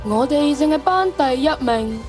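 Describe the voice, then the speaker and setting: A woman's voice: sits high, animated, normal speed, music in the background. A teenage girl playing a blunt, matter-of-fact schoolgirl in a radio drama. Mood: scornful.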